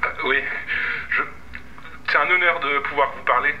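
A man's voice: fairly high pitch, fairly even intonation, normal speed, bright, forceful tone, muffled recording, slight background noise, voice pushed up. A man playing a slimy, obsessive anonymous caller in an audio drama, hesitant and cloyingly polite. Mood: nervous, fawning. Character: creepy male anonymous caller, slimy obsessive psychopathic harasser. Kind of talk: audio fiction phone drama.

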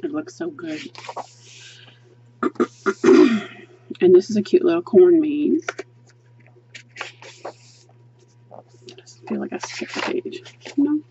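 A chatty woman pages through a coloring book at her table and gushes over each picture, thinking aloud with frequent ums. Her voice sits a little low and mellow. The home recording is dull and lo-fi, with a faint hiss behind her. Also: big pitch swings, extremely slow, pauses often. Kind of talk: casual book flip-through review. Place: indoors at a table, hands seen flipping pages.

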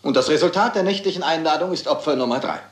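An actor playing a brusque, impatient police inspector in a German crime radio play, gruffly official in manner. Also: male voice, a little high, fast talker, natural voice. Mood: urgent, determined. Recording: roomy, echoing radio play sound.

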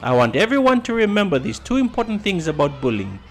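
High-pitched upbeat youth coach. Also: male voice, very animated, fast talker, slight background noise. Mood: encouraging. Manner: encouraging, instructive. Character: upbeat friendly male youth football coach. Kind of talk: animated motion comic acting.

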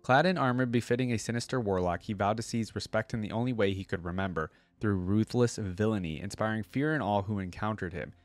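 A young man reading lore aloud and commenting casually, with expressive reading and conversational asides, close to a desk microphone. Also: lowish voice, fairly quick, hardly any pauses, no room sound. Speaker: reaction video host. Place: indoor streaming setup. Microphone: close desk microphone on a boom arm.

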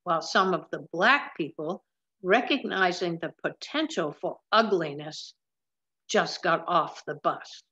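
A woman in her seventies reading a picture book aloud in a deliberate way, with emphatic pauses. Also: lowish voice, animated, bright, forceful tone, dull audio. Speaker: storybook reader. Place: large room at home with wooden ceiling, indoors.

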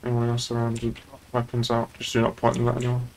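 A young man with a medium-pitched voice speaks as a police officer in a streamed roleplay game. He improvises his orders and questions, with faint noise behind him. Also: monotone, fast talker, darkish tone, poor audio. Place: indoor home streaming setup.

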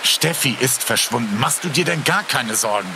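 A man's voice: medium pitch, animated, normal speed, big-room sound, noisy background, natural voice. A man playing a determined young man, blunt and decisive. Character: determined young man searching for his friend. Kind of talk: audio drama acting.

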